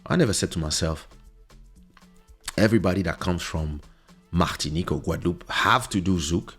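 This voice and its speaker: A man's voice: low voice, moderate pace, a bit bright, husky, faint music behind. A man from Paris, born in Congo, speaking English with a French accent in an emphatic, reflective, unscripted podcast monologue, repeating phrases for emphasis. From born in Kinshasa, Congo, raised in Paris from age seven. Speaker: podcast host.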